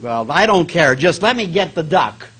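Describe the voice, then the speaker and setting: A man playing a stingy comedian posing as an innocent quiz contestant, hesitant and deadpan with whiny protests. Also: a little high, normal speed. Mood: indignant. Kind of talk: comic stage recreation of 1950s radio. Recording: noisy live stage recording.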